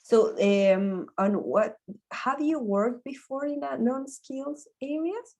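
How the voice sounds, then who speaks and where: A woman hosting an interview in English, asking halting questions full of ums. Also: high-pitched, a little mellow. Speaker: host. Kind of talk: casual interview.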